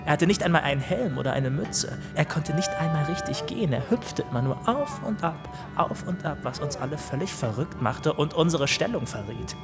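A short, fat young soldier full of his own importance in a radio drama. His voice sits fairly high for a male, with an emphatic, bossy, naive swagger. Music plays clearly behind him. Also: sing-song, moderate pace, hardly any pauses. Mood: boastful. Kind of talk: German radio play acting.

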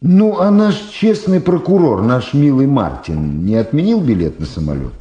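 An actor playing a sly old power broker in a 1970s Soviet radio drama, mockingly affable, unhurried and fond of repeating his words. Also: medium pitch, sing-song, mellow, highs cut off, lightly acted. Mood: sardonic, amused.

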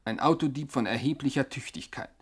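Mock-documentary narrator: husky, pompous, dryly ironic, deliberate phrasing. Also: male voice, medium pitch. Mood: solemn irony. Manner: pompous, deliberate, tongue-in-cheek. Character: pompous male commentator, dryly mock-scholarly.

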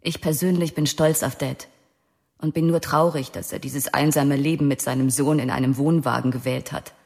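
A prim, lecturing older sister. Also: female voice, extremely low pitch, steady tone, normal speed, vocal fry, darkish tone, long reverb tail. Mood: earnest. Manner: earnest, lecturing. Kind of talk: radio drama acting.

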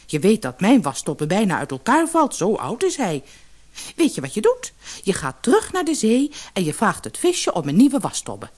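A woman's voice: mid-range voice, wildly swooping pitch, moderate pace, darkish tone, pitched above the performer's own voice. A woman voicing a grumpy, demanding old fisherman's wife in a storybook, scolding and nagging. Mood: angry, bossy. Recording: noisy old cassette audiobook.

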